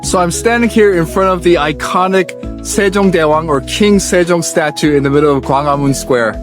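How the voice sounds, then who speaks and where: A man's voice: on the high side, normal speed, over music. A middle-aged man with an American accent, born in Korea and raised in America, hosting a walking vlog and speaking in a reflective, personal, conversational way. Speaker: vlog host. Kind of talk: walking travel vlog narration. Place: outdoors on city streets.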